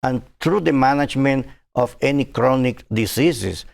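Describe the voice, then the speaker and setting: A man in his sixties from Cuba, speaking as a physician guest in a TV interview, earnest and halting with many fillers. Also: mid-range voice, gravelly, a little mellow.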